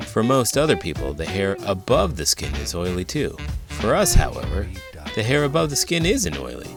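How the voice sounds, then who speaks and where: A man's voice: on the low side, huge pitch swings, moderate pace, gravelly, music in the background, full character voice. A man voicing a kindly scientist uncle who patiently explains things to his nephew in a children's storybook. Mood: encouraging.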